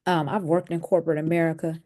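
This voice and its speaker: Low, mellow-voiced woman host. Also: flat delivery, fast talker. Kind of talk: commentary on celebrity drama.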